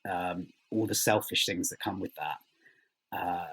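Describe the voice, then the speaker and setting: Low-voiced man, husky and thoughtful. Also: steady tone, a bit bright. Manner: thoughtful, halting, searching for words. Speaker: interviewed writer. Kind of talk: reflective interview conversation.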